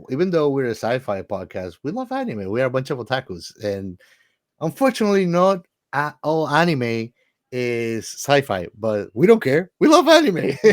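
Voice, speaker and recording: A man's voice: mid-range voice, no echo, studio quality. A man co-hosting a podcast in casual chat, enthusiastic and repeating words for emphasis. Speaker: podcast co-host.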